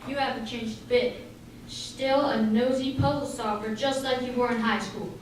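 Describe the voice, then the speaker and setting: High voice, vengeful killer with a grudge, radio play, acted by a woman, distant in echoey hall. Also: steady tone, moderate pace, natural voice. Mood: bitter, menacing. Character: Peter, vengeful bitter male murderer holding a grudge. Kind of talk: amateur radio drama acting. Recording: very echoey hall, distant mic, faint noise.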